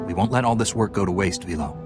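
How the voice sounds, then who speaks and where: An actor playing a steadfast heroic knight in a video game, with a calm, measured, resolute manner. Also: male voice, low voice, over music, close to an everyday voice. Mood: calm resolve. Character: steadfast heroic male Jedi-style knight. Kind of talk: video game cutscene acting.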